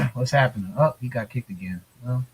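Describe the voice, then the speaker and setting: A man co-hosting a casual gaming podcast from home, talking in a relaxed, rambling way with lots of fillers. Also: medium pitch, normal speed, breathy edge, low-quality recording. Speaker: podcast co-host. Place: hurricane-prone US city.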